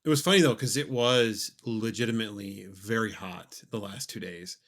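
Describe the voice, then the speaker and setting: A young man co-hosting a podcast, talking in a relaxed, rambling way with many fillers. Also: fairly low pitch, moderate pace. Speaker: podcast co-host. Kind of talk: casual chat.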